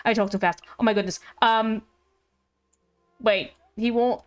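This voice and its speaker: A woman's voice: on the high side, fairly even intonation, a little slow. A woman doing casual live commentary on a game, chatty, playful and rambling. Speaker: game livestreamer. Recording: livestream with game music behind. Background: game music.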